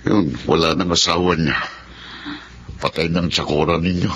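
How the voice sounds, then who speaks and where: Somber middle-aged father, deep low voice, protective, radio drama, faint noise. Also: fairly even intonation, moderate pace, fairly bright tone. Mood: sorrowful. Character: somber, protective older widowed father of twin daughters. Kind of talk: Tagalog radio drama acting.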